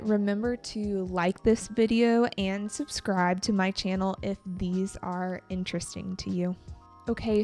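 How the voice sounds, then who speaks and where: A young woman hosting an English-learning podcast, talking calmly and encouragingly as a teacher. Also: mid-range voice. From United States. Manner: calm, encouraging, slow and deliberate. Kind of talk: scripted educational podcast talk.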